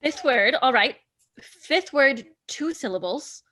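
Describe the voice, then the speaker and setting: A woman acting an eager, lively guesser at a party game in an amateur play reading. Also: high voice, slow, no room sound, studio quality, full character voice. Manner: eager, exclaiming. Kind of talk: amateur play reading over video call.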